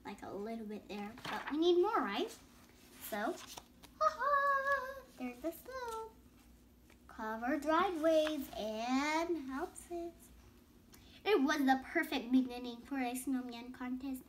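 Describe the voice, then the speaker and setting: A woman's voice: high voice, sing-song, slow, background noise. A young girl reading a bedtime story aloud with casual explanatory asides, stumbling over words and rereading phrases. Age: child.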